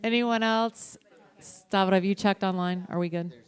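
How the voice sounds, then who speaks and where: A woman's voice: mid-range voice, fairly even intonation, normal speed, smooth. A woman moderates an open forum. She is upbeat and friendly, thanks each speaker and invites the next to the microphone. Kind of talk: moderating a discussion.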